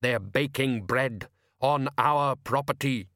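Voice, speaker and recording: A man's voice: mid-range voice, unhurried, fairly bright tone, no echo, clean studio sound, natural voice. A man voicing a stubborn, indignant working-class fisherman father who grumbles and blusters in an audiobook story. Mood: indignant, blustering. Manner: blustering, defensive, colloquial.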